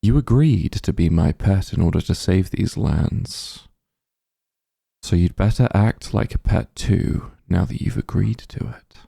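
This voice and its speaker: A man playing a possessive, doting immortal demon lord in an intimate ASMR roleplay, teasing and smug, speaking softly in a gravelly, breathy voice. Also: low voice, no room sound, studio quality, exaggerated voice. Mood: teasing, commanding. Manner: slow, soft, teasing coaxing.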